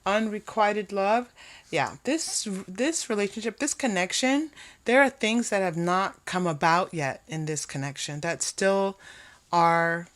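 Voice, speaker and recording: A woman's voice: mid-range voice, a little slow. A woman doing an unscripted tarot card reading, musing aloud and repeating phrases for emphasis as she addresses the viewer. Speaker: tarot reader.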